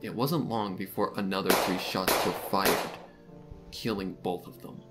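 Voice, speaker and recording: A man reading scripted third-person fan fiction as the narrator of a dark mystery story. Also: low-pitched, fairly even intonation, a little slow, loud music behind the voice.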